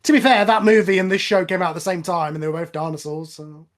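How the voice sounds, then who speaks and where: A man's voice: high voice, quick pace. A man chatting casually in a film commentary, with a wry, nitpicking way of talking. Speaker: commentary track participant.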